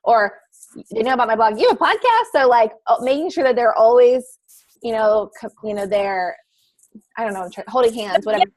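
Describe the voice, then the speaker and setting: Fast-talking animated woman podcaster. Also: on the high side. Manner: quick, animated, acts out quotes. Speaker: guest, podcaster and blogger. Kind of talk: advice in a casual call.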